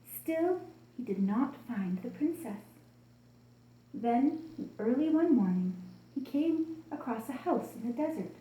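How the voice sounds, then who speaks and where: Bright-toned woman storyteller in an echoey room. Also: high voice. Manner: expressive, deliberate storytelling addressed to young listeners. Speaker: children's storyteller. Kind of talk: expressive scripted story reading for children.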